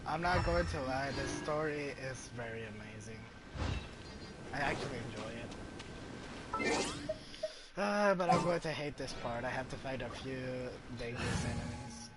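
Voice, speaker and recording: A man's voice: mid-range voice, measured pace, pauses often, heavy background noise. A man streaming a game, commenting casually as he plays.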